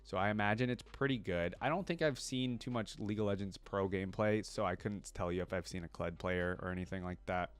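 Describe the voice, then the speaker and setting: A man's voice: sits low, fairly quick, hardly any pauses, clean tone. A man hosting a reaction video, talking casually and thinking aloud in a rambling way, often checking himself with a questioning tag. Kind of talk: casual reaction commentary to camera.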